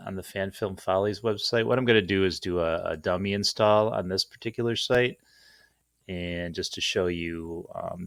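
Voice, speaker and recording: A man's voice: low-pitched, creaky, fairly bright tone, dry recording, studio quality. A man hosting an informal, off-the-cuff screen-share tutorial in a rambling, self-deprecating way. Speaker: tutorial host.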